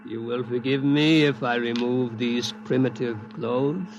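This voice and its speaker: An actor playing a polite but stubborn Martian posing as a human inventor, speaking formally and measuredly in a 1950s radio drama. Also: male voice, medium pitch, steady tone, measured pace, dull audio, lightly acted. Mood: calm, wistful. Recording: noisy vintage 1950s radio recording.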